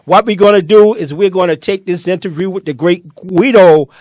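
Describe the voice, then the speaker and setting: Hyped-up emcee host, dry sound. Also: male voice, sits high, moderate pace, a little mellow, dry recording. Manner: showman hype, repeats openers. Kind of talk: emcee-style show hosting.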